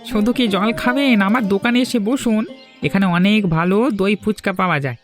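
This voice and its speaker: A man's voice: high-pitched, moderate pace, close to an everyday voice. A man voicing an eager, hospitable street-food seller in a Bengali cartoon. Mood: coaxing. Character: friendly, coaxing street-food stall owner. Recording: music behind the voice.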